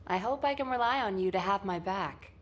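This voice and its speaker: An actress playing a capable, stern, dryly wry woman soldier with a brisk manner in a video game cutscene. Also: mid-range voice, animated, slight background noise, natural voice. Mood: wry, composed.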